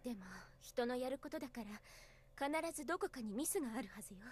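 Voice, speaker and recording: A woman playing a gentle, composed schoolteacher in a visual novel, speaking softly and breathily with patient phrasing. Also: high-pitched, expressive, very fast, husky. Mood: determined. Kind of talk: Japanese visual novel voice acting.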